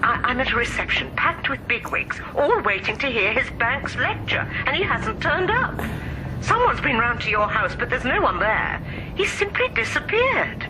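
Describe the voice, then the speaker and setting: A woman's voice: fairly high pitch, sing-song, normal speed. An actress playing a flustered, fussy society lady on the telephone in a radio drama, with an upper-class English accent. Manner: fussy, effusive. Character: flustered grand society lady, socially anxious. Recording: noisy telephone call at reception.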